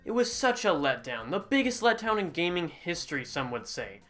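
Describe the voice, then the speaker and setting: Bright, sarcastic game-review narrator. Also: sing-song, moderate pace, soft background music. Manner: sarcastic, mocking, with sudden loud jumps in pitch. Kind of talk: comedic game review voice-over.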